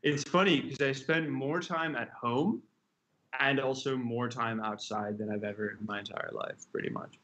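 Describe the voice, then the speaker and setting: A man's voice: mid-range voice, fairly even intonation, normal speed, fairly bright tone, rough recording. A young man, a chef, answering interview questions casually over a video call from a room at home, rambling with many fillers and restarts. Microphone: laptop webcam on video call.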